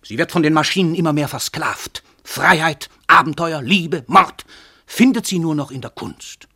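Arrogant, declamatory famous crime writer. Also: male voice, fairly high pitch, moderate pace, creaky, pushed and bright, husky, no room sound, clean studio sound, full character voice. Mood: impassioned. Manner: declamatory, domineering, emphatic. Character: world-famous male crime writer, arrogant, cynical confessed murderer. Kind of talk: theatrical German radio drama monologue.

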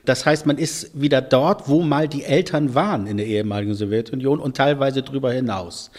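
A man in a church role answering interview questions, explaining thoughtfully and letting phrases fade softly at their ends. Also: medium pitch, brisk.

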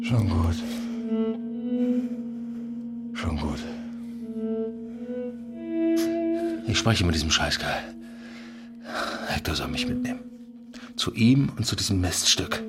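An actor playing a domineering, hot-tempered husband in a German radio drama, with a menacing, insulting manner. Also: male voice, deep voice, very animated, moderate pace, loud music behind the voice. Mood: subdued, bitter. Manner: menacing, insulting, forceful questioning.

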